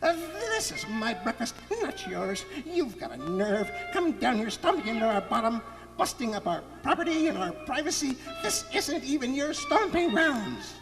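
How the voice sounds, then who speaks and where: A man's voice: extremely high pitch, very animated, moderate pace, big-room sound, pitched above the performer's own voice, full character voice. A man voicing a small, feisty rabbit in a storybook, squealing and scolding indignantly over orchestral music.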